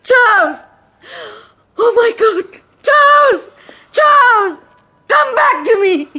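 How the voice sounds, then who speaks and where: A woman's voice: very high voice, very animated, slow, sharp tone, exaggerated voice. An actress playing a distraught, melodramatic woman crying out in grief in a radio drama. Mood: hysterical grief. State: crying, gasping. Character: distraught, melodramatic woman guest finding loved one dead.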